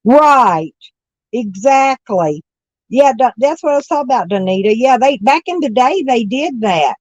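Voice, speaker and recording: A hoarse woman with stuffy sinuses, rambling folksily as she chats with live viewers from her camper van. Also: on the high side, lively intonation, moderate pace, a little mellow, no room sound, lo-fi sound. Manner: rambling, folksy, self-correcting. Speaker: vlogger chatting with live viewers. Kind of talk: casual morning chat.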